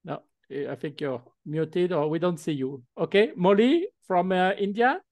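A man's voice: high voice, animated, normal speed, darkish tone, no echo. A man co-hosting an online meeting, talking with many fillers and restarts over a video call. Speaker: meeting co-host.

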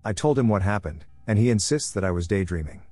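A man narrating first-person scary stories with dry, wry asides, soft music behind him. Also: lowish voice, animated, fast talker.

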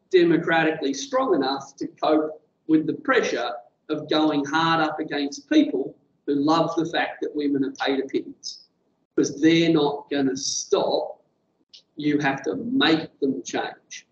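A man speaking bluntly and forcefully on a conference panel. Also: on the high side. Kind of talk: impassioned panel remarks. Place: conference room. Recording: roomy, distant, dull sound.

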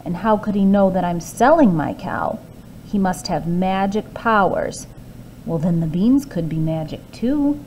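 A woman voicing a brave, naive farm boy in a read-aloud storybook, eager and a little high. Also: lively intonation, unhurried, darkish tone, slight background noise, rough recording.

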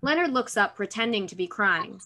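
A young woman narrates the action of a script. Her voice is bright and forward, at a middle pitch, as she reads stage directions over a video call. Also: animated, moderate pace. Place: room at home.

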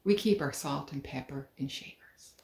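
A woman's voice: a bass voice, moderate pace, a little mellow. A woman doing a small, earnest little girl. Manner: earnest, wondering questions. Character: small curious girl, wide-eyed and earnest. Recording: roomy living room.

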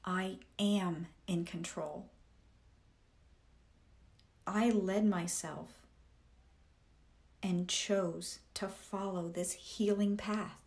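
A woman reading scripted guided meditation affirmations in a deliberate, measured way, in short phrases with pauses. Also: fairly low pitch, fairly even intonation, darkish tone.

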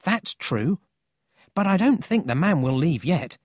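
A narrator voicing a cocky, excitable but friendly city boy in a children's storybook, brash and eager. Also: mid-range voice, measured pace, no room sound, studio quality, voice pushed up.

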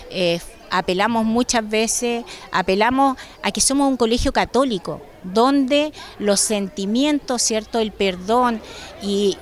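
A middle-aged woman giving a street interview into a handheld mic, speaking earnestly and measured, with pauses. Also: a little high. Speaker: parent association president. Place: outdoors on a city street. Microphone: handheld reporter mic.

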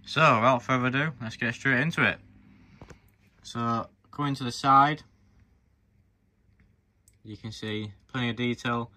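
A man's voice: mid-range voice, steady tone, measured pace, pauses often, pushed and bright, no room sound. A man presenting a hands-on review in an informal, explanatory way, talking directly to viewers. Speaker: presenter of a farm model review channel. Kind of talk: casual hands-on review narration.

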